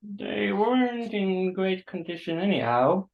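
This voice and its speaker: A man acting an awkward, apologetic host to a stranger in a staged play reading, halting and understated, on a dull recording. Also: high-pitched, animated, creaky, heavily acted. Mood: sheepish.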